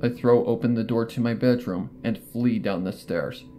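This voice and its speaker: A man's voice: mid-range voice, moderate pace, dark tone, a little hiss or hum. A man reading a scripted horror story as a dramatic first-person narrator. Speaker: fan fiction narrator.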